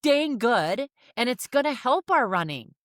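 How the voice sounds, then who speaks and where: A woman's voice: a little high, sing-song, a little slow, fairly bright tone, dry recording. A woman hosting a podcast interview, encouraging and affirming her guest in casual talk on a close mic. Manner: encouraging, affirming, frequent "yeah". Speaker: podcast host.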